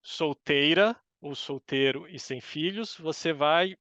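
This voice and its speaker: A middle-aged man with a Brazilian Portuguese accent giving thoughtful, halting answers as an interview guest. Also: on the high side, pushed and bright, dry recording, muffled recording. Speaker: interview guest, university professor. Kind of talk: conversational interview answers, reflective.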